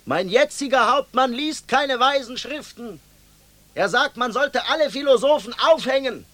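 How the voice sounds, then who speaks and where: An actor playing a cautious, obedient soldier on guard in a radio play, speaking in a pedantic, evasive way. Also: male voice, very high-pitched, normal speed, sharp tone, no echo. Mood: guarded, matter-of-fact.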